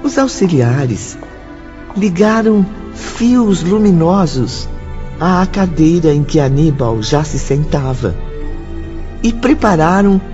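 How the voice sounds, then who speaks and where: Slow, expressive Brazilian male narrator. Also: on the high side. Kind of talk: scripted radio drama narration. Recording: dull audio with background music.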